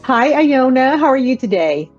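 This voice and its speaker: A woman's voice: sits high, big pitch swings, moderate pace, a little mellow, highs cut off, faint music behind. A woman hosting an interview podcast, introducing her guest and reacting with enthusiasm. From immigrant. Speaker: podcast host.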